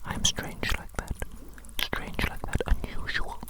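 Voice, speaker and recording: A performer whispering as a doting, eccentric evil doctor in an ASMR roleplay, breathy and theatrical, soothingly repeating phrases. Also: unhurried, natural voice. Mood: reassuring. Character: doting, eccentric evil doctor fussing over a created monster.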